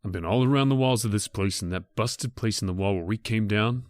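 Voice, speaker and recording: A man playing a low-voiced, grumbling crook. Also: brisk, no room sound, clean studio sound, natural voice. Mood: uneasy. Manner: slangy, grumbling. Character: gruff, grumbling male crook, uneasy but practical accomplice. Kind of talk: old-time radio horror acting.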